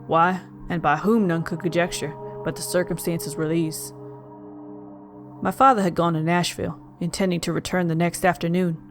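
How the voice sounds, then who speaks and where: An actor playing a haunted young gentleman, narrating a gothic tale in formal, melancholy, literary speech. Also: medium pitch, little pitch movement, normal speed, soft background music, natural voice. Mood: grave, somber. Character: young man Joel Hetman Jr, mournful, haunted, genteel narrator. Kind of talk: dramatic first-person gothic audio narration.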